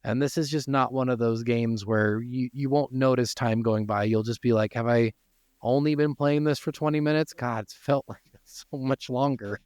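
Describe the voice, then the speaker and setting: A man's voice: mid-range voice, fairly even intonation, moderate pace, a little mellow, dry recording, clean studio sound. A man co-hosting a gaming podcast, giving blunt opinions in casual, informal speech. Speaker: video game podcast co-host.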